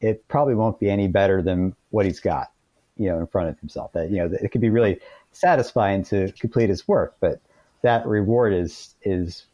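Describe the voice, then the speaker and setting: A man with a lowish, very mellow voice talks as a guest in an interview. He explains ideas casually and tells informal anecdotes. The recording is dry and close. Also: moderate pace, dry recording. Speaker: podcast guest, author.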